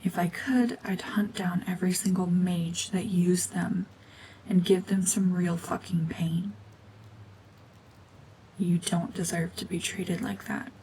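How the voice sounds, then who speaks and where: A woman acting a cold, domineering mutant witch in an ASMR roleplay drama, hushed and often whispering, with terse, guarded commands. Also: fairly low pitch, fairly even intonation, slow, slight background noise, low-quality recording. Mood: remorseful, tender. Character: renegade mutant cyborg gorgon witch, female, cold, domineering, slowly softening.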